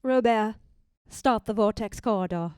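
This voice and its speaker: A woman's voice: medium pitch, a little slow, a little mellow, clean tone, no room sound, clean studio sound, natural voice. A woman playing a commanding, determined crew leader in a science-fiction radio play. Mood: urgent resolve.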